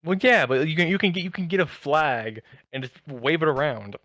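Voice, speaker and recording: A man's voice: fairly high pitch, animated, brisk, fairly bright tone, dry recording. A young American man co-hosting a podcast, chatting casually with friends. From United States. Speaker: podcast co-host. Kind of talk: casual chat among friends.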